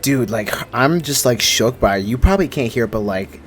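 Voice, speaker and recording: A man's voice: medium pitch, expressive, fairly quick, soft background music. A young man giving casual, slangy reaction commentary as a gaming video creator. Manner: slangy, informal.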